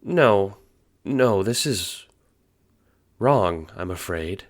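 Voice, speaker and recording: A man's voice: fairly low pitch, animated, extremely slow, voice pushed up. A man doing a folksy old grandma. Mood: worried. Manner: folksy, calls people dear. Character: kindly old grandmother, sprite half. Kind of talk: audio drama acting.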